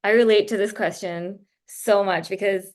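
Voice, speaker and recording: A woman from Japan speaking as a guest on a video call, explaining things in a thoughtful, measured way. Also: mid-range voice, fairly even intonation. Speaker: guest speaker, writer and editor. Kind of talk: explanatory talk in an online interview.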